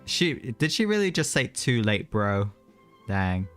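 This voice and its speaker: A man's voice: fairly low pitch, big pitch swings, a little slow, fairly bright tone, soft background music. A man giving casual, slangy live commentary as he streams a video game.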